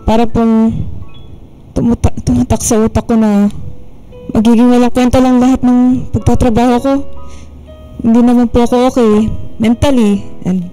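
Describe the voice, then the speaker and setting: A woman's voice: a little high, little pitch movement, normal speed, long pauses, mellow, faint music behind, close to an everyday voice, low-quality recording. An actress playing a worn-out daughter in her late twenties, hesitant and pleading, in a radio drama. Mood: weary, pleading. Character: worn-out 28-year-old daughter, a respectful call center worker.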